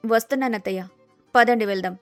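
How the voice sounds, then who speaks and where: A woman playing a sensible, respectful young daughter-in-law who talks calmly and reassuringly in a dramatized story. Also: a little high, fast talker, no echo, faint music behind, lightly acted. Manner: polite, calm, reassuring.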